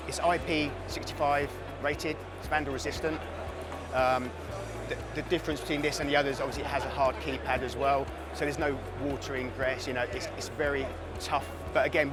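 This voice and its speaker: A man of average pitch answers as a product specialist in an interview. He explains features in an informal, conversational way. Loud music runs behind his voice throughout. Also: steady tone, fairly quick. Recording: loud background music under the voice.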